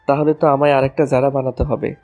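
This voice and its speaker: Audio romance role: a man as a tender, mischievous young husband, mid-pitched voice with music behind. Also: little pitch movement, fast talker, darkish tone, natural voice. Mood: teasing. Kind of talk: romantic audio story acting.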